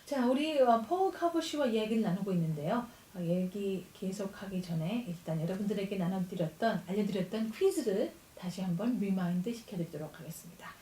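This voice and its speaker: A female radio host who talks extremely fast in a mellow, dark tone. She sits a little back from the mic in a roomy studio, and a faint hiss runs under her voice. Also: mid-range voice, animated, far from the mic. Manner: rapid, switches between languages, quick laughs. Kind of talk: bilingual radio show hosting.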